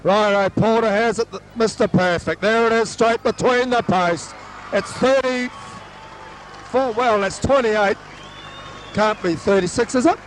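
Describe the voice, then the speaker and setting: A hoarse man, excitedly calling a local rugby league game as play-by-play commentator. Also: high-pitched, measured pace, poor audio. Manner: excitable, voice soaring on breaks. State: hoarse, losing his voice. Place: outdoor grandstand at a suburban oval. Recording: old amateur sports recording with crowd noise. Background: crowd noise.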